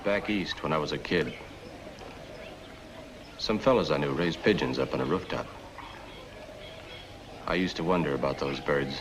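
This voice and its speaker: An actor playing an aloof, moody young American drifter in a 1950s film noir, terse, cool and gravelly. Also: male voice, very deep voice, normal speed, long pauses. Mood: brooding. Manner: terse, cool, clipped short lines. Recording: noisy old film soundtrack.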